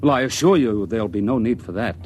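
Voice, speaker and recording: A man's voice: medium pitch, lively intonation, quick pace, a little mellow, lightly acted. An actor playing a curious, earnest young male servant in an old radio drama. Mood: curious, defensive. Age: young adult. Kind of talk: 1950s radio drama acting. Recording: noisy vintage radio recording.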